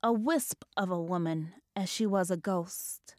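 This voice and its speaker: A woman's voice: on the low side, unhurried, a little mellow, no echo, studio quality. A woman narrating a scripted ghost story in a descriptive, literary style.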